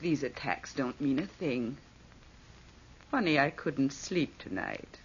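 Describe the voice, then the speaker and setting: An actress playing an ailing, suspicious older aunt with a husky voice in an old-time radio drama. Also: female voice, extremely low pitch, big pitch swings, slow, a little hiss or hum, heavily acted. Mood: uneasy. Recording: muffled vintage radio recording.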